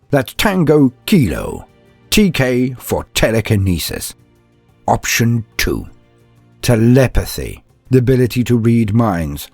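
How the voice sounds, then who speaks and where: A man's voice: medium pitch, a little mellow, husky, dry recording. A man narrating as a storyteller and host, in a scripted, theatrical style with courtly phrasing. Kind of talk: dramatic first-person story narration and scripted channel address. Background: background music.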